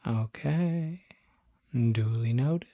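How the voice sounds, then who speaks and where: Performer as demon doctor, ASMR roleplay, extremely slow, soft and often whispered, husky, attentive, gentle bedside manner. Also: mid-range voice, no room sound, dull audio. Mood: calm, attentive. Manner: slow, deliberate, gentle bedside questioning with pauses. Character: calm, attentive demon doctor treating a patient.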